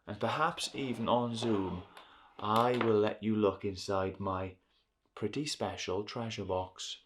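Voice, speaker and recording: A man presenting and reading a story for children in a deliberate way, as host of a series. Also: lowish voice, steady tone, noisy background. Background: music.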